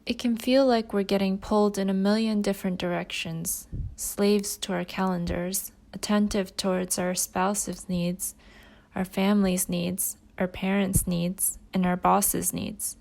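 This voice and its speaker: Slow-paced woman guiding meditation. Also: medium pitch, flat delivery, vocal fry, a little mellow, no echo. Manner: unhurried, with pauses between short phrases. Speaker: meditation guide. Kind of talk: guided meditation, gentle spoken instruction.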